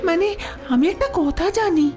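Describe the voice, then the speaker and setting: Casting: devoted young maidservant, very high voice, animated swings, fretful, fairy-tale audio drama over music. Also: female voice, unhurried. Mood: anxious, earnest. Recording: music under the voice.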